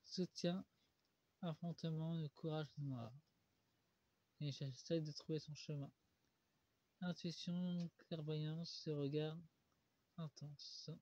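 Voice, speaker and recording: A man with a cold, doing a solo tarot card reading, slowed by many pauses and fillers. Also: on the high side, steady tone, mellow. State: stuffy with a cold. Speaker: tarot reader. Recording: dull lo-fi recording.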